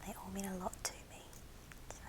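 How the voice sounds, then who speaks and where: A woman whispering softly and breathily in a gentle, affectionate ASMR show and tell. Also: moderate pace. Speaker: ASMR video host.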